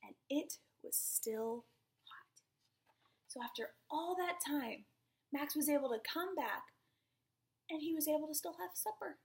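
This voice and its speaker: A young woman reading a picture book aloud to small children, breathy and often near a whisper, with encouraging exclamations and simple questions. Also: high voice, animated, unhurried, long pauses, darkish tone.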